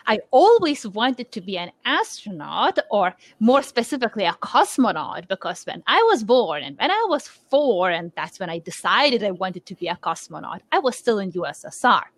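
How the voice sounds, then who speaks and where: A woman's voice: mid-range voice, sing-song, moderate pace, few pauses, no echo. A woman in her forties from Lithuania, a research scientist answering interview questions in a thoughtful, hesitant way with many fillers.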